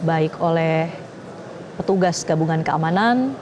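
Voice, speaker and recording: A woman with an Indonesian accent anchoring live breaking news in a formal, measured way. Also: sits low, background noise.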